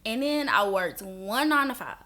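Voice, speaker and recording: A woman's voice: mid-range voice, expressive, moderate pace, pushed and bright. A woman in her twenties with a Southern US accent, a guest on a podcast in a studio, talking in a laid-back way with casual slang and frequent laughs. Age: 20s. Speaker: guest entrepreneur.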